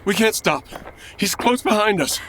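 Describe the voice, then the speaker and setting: A woman's voice: mid-range voice, lively intonation, normal speed, fairly bright tone, close to an everyday voice. A woman playing an exasperated, anxious 1940s radio announcer man in a comedy audio drama, grumbling and dramatic. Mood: urgent. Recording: clear background noise.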